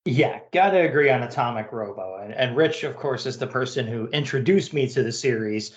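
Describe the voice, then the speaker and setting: A man's voice: mid-range voice, animated, a little fast. A man hosting a podcast in casual, chatty style. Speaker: podcast host. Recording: slightly dull, lo-fi sound.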